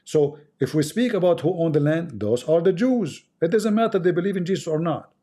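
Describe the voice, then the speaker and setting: A man with an Arabic accent, speaking English as a second language, holds forth on his stream into a close microphone. His voice sits somewhat high for a man yet sounds soft and mellow. He delivers in an emphatic, sermon-like way and repeats lines for weight. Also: fairly quick. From Middle East. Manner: emphatic, preachy, repeats for effect. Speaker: livestream host. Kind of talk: impassioned religious monologue.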